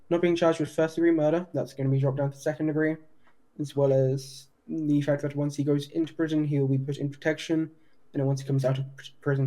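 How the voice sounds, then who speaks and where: Male detective in a roleplay interview; soft-edged, level delivery, formal and measured. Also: a little high, rough recording. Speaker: roleplayer playing a police detective. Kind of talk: improvised police interview roleplay.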